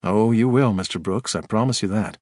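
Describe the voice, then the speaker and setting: A man's voice: on the low side, normal speed, a little mellow, dry recording, clean studio sound, natural voice. A man voicing a blunt, plainspoken man who presses a witness with folksy, dogged questions.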